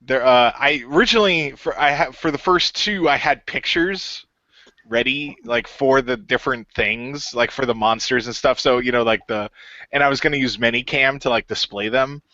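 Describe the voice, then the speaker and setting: A man's voice: mid-range voice, normal speed, pushed and bright, no room sound. A man who created and ran a radio play, chatting casually and rambling with many fillers and restarts. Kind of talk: casual podcast chat. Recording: dull lo-fi dry sound.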